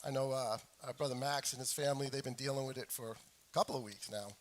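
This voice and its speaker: A middle-aged man preaching a sermon as a pastor, hoarse from a cold, speaking deliberately and repeating phrases for emphasis. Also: medium pitch, steady tone, slight background noise. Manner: frequent throat clearing. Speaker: pastor preaching to his congregation. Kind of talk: sermon with scripture reading.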